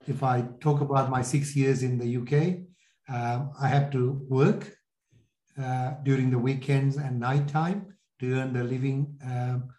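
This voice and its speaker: A man in his sixties with a Sri Lankan accent speaks in a relaxed, mellow tone. He recounts his experiences reflectively, often pausing to rephrase. The sound is noticeably echoey, as in a live room. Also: mid-range voice. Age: 60s. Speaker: podcast guest, entrepreneur. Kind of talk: conversational interview, telling life story.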